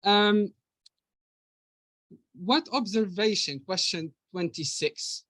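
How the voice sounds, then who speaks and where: A man's voice: high voice, slow pace, fairly bright tone, no room sound. A man interviewing over a video call, reading out numbered questions with hesitant fillers.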